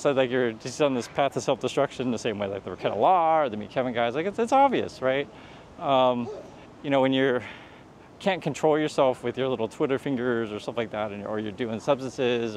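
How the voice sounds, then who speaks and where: A man's voice: mid-range voice, darkish tone, slight background noise. Rambling man vlogging outdoors. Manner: rambling, many restarts and fillers, earnest advice. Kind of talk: casual vlog commentary while walking. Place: outdoors on a street near traffic.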